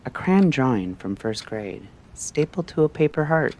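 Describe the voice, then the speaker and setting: A man narrating a scripted story like a late-night radio host, in a measured, theatrical way with short phrases and pauses. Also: mid-range voice, very animated, a little mellow.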